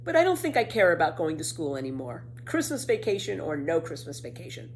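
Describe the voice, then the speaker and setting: A woman's voice: low-pitched, big pitch swings, brisk, a little hiss or hum. Woman voicing young storybook girl, cheeky, boastful, breezy, emphatic, swooping intonation. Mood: candid. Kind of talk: children's storybook read aloud.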